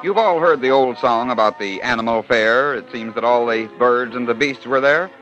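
A man's voice: medium pitch, normal speed, loud music behind the voice. A man hosting an old radio feature, narrating odd stories in a genial, showman-like scripted style. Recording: dull old radio transcription.

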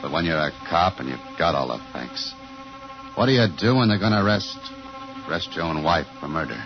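A man's voice: low voice, animated, fairly quick. An American actor playing a weary, terse police detective narrating his trouble in a 1950 radio noir drama. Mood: brooding. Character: hard-boiled American police detective, shaken husband of suspect. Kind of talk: 1950s radio noir acting, first-person narration. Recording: muffled vintage 1950 radio recording with music.

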